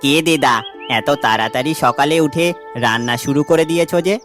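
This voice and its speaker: A man voicing a bold, excitable village boy in a cartoon, with a brash boyish manner. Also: on the high side, normal speed, music in the background, natural voice. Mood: casual. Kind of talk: cartoon voice acting.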